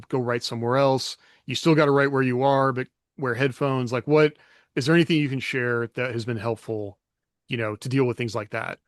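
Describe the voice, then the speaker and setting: A man hosting a podcast panel in casual chat, relaxed as he puts open questions to the group. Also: mid-range voice, no echo, studio quality. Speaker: podcast host.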